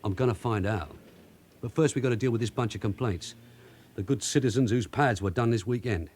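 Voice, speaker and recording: An actor playing a streetwise, bossy London detective sergeant in a radio drama, with a London accent and brisk, colloquial, teasing talk. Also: male voice, mid-range voice, a little mellow, natural voice. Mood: wry, bossy.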